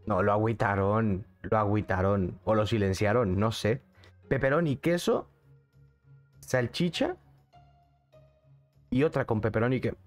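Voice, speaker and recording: A man's voice: fairly low pitch, unhurried, long pauses, soft background music. A young man with a Mexican accent, streaming a game and chatting in a casual, jokey way while answering his chat. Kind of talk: casual gaming commentary.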